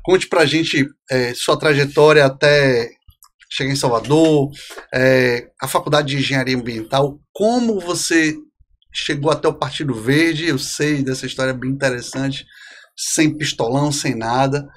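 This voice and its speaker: A man hosting a podcast who interviews a guest in a casual, slightly halting way. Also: mid-range voice.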